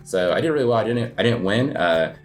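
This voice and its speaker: A man's voice: fairly low pitch, fast talker. A man, perhaps in his thirties, chatting casually as an interview guest about coffee, rambling with lots of filler words. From United States. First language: English. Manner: relaxed, rambling, heavy filler words, self-deprecating laughs. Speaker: guest, cafe owner and coffee competitor.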